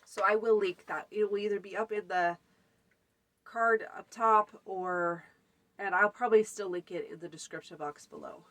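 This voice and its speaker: A woman with a blocked, stuffy nose talks viewers through a homemade craft in a loose, conversational way. She ambles from item to item with frequent ums and uhs. Her voice sits at an ordinary middle pitch. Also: fairly even intonation, normal speed, fairly bright tone. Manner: chatty, many fillers, lists items. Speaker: folk magic channel host. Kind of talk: casual spoken tutorial.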